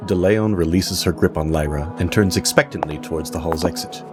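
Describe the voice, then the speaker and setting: Male narrator, low voice, measured, dramatic, fantasy storytelling, clear music bed.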